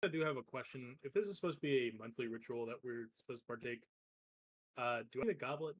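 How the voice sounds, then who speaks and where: A man with a mid-pitched voice takes part in a tabletop game as a player. He talks casually, hesitant and questioning. The sound is dry and close. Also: fast, slightly rough, no room sound.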